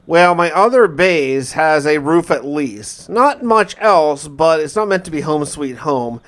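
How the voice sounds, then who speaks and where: A man with a slightly high voice hosts a survival game playthrough. He narrates in the first person, casually and conversationally, with a mildly wry edge. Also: lively intonation, a little slow, a bit bright. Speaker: gaming video host narrating a survival playthrough.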